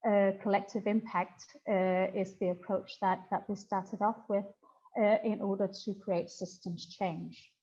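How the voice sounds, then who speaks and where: A woman's voice: mid-range voice, little pitch movement, unhurried, warm tone. Danish woman presenting over a muffled call. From Denmark. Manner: friendly, chatty, with frequent fillers. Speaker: presenter for a youth homelessness alliance. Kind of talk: online presentation. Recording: muffled online call audio.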